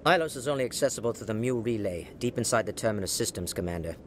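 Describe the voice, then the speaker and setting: An actor playing a brisk, skeptical alien councilor in a video game, clipped and formal. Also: male voice, on the low side, expressive, slight background noise, lightly acted. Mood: warning.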